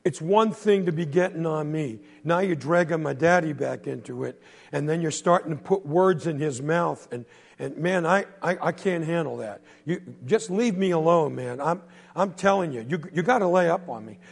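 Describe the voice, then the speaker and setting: A man's voice: fairly high pitch, normal speed, creaky, breathy edge, long reverb tail. A man playing an exasperated teenage boy. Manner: pleading, exasperated. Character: angry fourteen-year-old boy fiddler.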